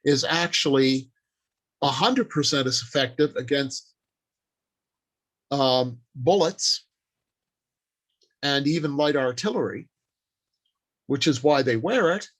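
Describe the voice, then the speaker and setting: A man presents an informal workshop on the craft of writing at a slow pace. He delivers his advice deliberately, in short phrases broken up by pauses. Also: mid-range voice, a bit bright. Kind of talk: informal instructional talk on writing.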